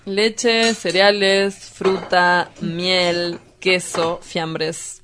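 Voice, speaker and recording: A woman's voice: on the low side, flat delivery, very slow, a bit bright, slight background noise. A woman playing a cynical, evasive young male outcast in a dramatized dialogue, with an Argentine accent and a dry, offhand manner. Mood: offhand, wry.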